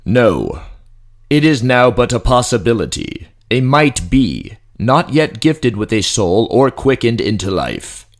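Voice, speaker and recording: A man's voice: mid-range voice, big pitch swings, takes it slowly, voice pushed up. A man voicing a pompous, commanding emperor with a short temper in a fantasy audiobook drama. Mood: cold, imperious.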